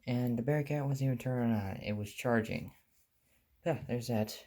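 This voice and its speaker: A man's voice: medium pitch, moderate pace, warm tone. A man giving a casual, rambling show-and-tell as a hobbyist vlogger, offhand and full of detail.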